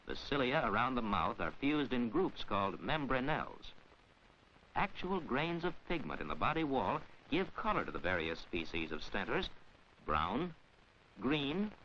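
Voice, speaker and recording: A man delivering formal scripted narration in the measured, instructional manner of a mid-century educational science film, with careful enunciation. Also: medium pitch. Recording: dull, hissy 1940s film soundtrack.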